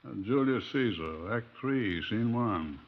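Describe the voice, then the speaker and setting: A man's voice: lowish voice, animated, takes it slowly, loud music behind the voice. A man acting a wry, bookish adviser in a radio drama, musing and dry. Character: wry, bookish male adviser, unhurried and droll. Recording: muffled old radio recording.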